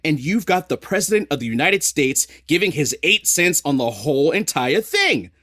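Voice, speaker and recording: An actor playing a streetwise, exasperated narrator in an audio drama, colloquial and rhythmic, repeating phrases for effect. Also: male voice, fairly high pitch, sing-song, moderate pace, bright, forceful tone, no echo, clean studio sound, heavily acted. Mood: exasperated, urgent.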